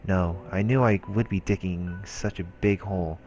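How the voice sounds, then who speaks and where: Male narrator, very low register, solemn scripted read, faint music underneath. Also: fairly even intonation, moderate pace, darkish tone, lo-fi sound. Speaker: let's play host reading a visual novel aloud. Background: game music.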